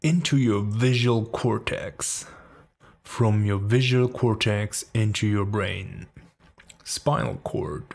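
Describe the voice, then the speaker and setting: A man's voice: lowish voice, takes it slowly, vocal fry. A man in his thirties with a German accent, explaining music production steps in a casual, rambling way and filling gaps with "like". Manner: chatty, rambling, lots of "like" fillers. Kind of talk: casual screen-recorded tutorial. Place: home studio room. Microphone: studio microphone near the face.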